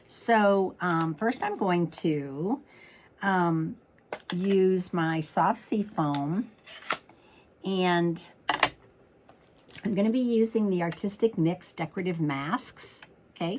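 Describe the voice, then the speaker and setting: A woman's voice: fairly low pitch, a little hiss or hum. A woman with a slightly froggy voice hosting a craft tutorial, chatting informally and thinking aloud as she works. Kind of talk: chatty card-making demonstration.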